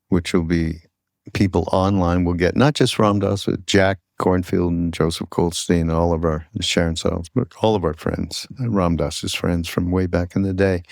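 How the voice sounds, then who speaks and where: Male podcast host, low pitch, leisurely pace. Casual interviewer who meanders and pauses mid-thought. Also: vocal fry, no room sound, studio quality.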